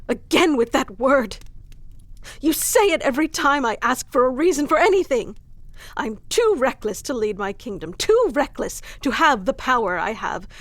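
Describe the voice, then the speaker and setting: Woman acting a high-voiced, earnest, wounded fantasy queen. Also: no echo, heavily acted. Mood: indignant. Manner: earnest, deliberate, emotionally charged delivery. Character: proud, wounded fantasy queen in love with an old friend. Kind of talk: dramatic audio roleplay acting.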